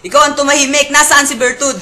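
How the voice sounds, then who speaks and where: A woman's voice: high voice, little pitch movement, fast, sharp tone. A woman playing a desperate, obsessed jilted young lover in an amateur radio drama, with a Filipino accent.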